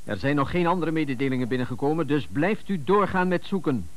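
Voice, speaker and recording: Actor as formal, higher-pitched police dispatcher. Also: male voice, animated, fast, close to an everyday voice. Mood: official. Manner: clipped, formal, procedural. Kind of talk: Dutch radio drama, dispatcher messages.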